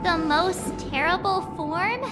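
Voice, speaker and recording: A woman's voice: extremely high pitch, slow, over loud music. A woman voicing a small, innocent, anxious childlike companion in a video game, with a plaintive questioning manner. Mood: worried, disbelieving. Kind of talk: cute video game character acting.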